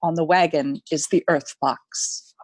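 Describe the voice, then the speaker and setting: A woman's voice: deep voice, fairly even intonation, a little slow, a little mellow, no echo. A woman giving dramatic scripted narration for a radio play.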